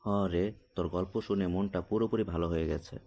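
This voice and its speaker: A man playing a naive grown boy in a cartoon, with a deep voice. He talks colloquially and plaintively, like a son grumbling to a friend. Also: fairly even intonation, brisk, warm tone. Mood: agreeable. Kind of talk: casual cartoon dialogue.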